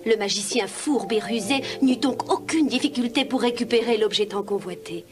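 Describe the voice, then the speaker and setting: A woman storyteller reading a scripted narration for a children's animated tale. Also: fairly high pitch, moderate pace, loud music behind the voice.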